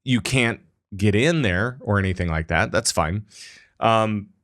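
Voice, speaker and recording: A man's voice: fairly low pitch, a little slow, fairly bright tone. A man hosting a casual gaming podcast, rambling with lots of filler words. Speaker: podcast host.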